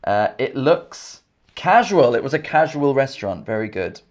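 A man from England with an English accent, teaching a live online English lesson, slowly repeating each question and thanking viewers by name. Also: mid-range voice, very animated. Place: indoors, in Scotland.

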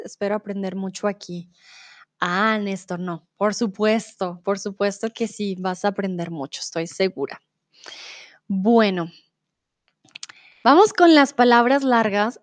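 A woman's voice: medium pitch, no room sound. A young adult woman from Colombia with a Bogotá accent, teaching a live online Spanish class in a chatty way. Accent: Bogotá Colombian, with a little Mexican. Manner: chatty, reads out chat messages, switches to English and German.

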